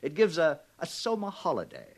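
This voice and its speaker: An actor playing a pompous older director who lectures theatrically in a 1950s radio drama about a dystopia. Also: male voice, fairly high pitch, huge pitch swings, moderate pace. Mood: smug lecturing.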